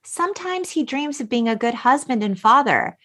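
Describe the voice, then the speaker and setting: A woman hosting a podcast, chatting casually and retelling film dialogue in an animated way. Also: a little high, moderate pace. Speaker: podcast host. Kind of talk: casual chat, recapping a film.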